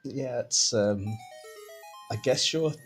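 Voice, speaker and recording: A man doing relaxed live commentary on a game tournament, hesitant at times and chuckling often. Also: mid-range voice, slow pace, soft background music.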